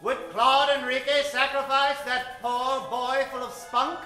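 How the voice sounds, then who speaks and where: A male narrator tells an old-time radio comedy with mock drama. His voice sits high for a man and carries through a roomy space, with music behind it. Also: flat delivery, unhurried. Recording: roomy stage recording with music.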